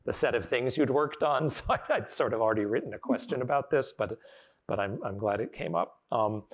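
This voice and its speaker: A man speaks as the interviewer in a live conversation. His voice is of average pitch, and he talks informally, circling around his point as he builds each question. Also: a little fast, breathy edge. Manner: rambling, circling phrasing. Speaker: interviewer, university dean.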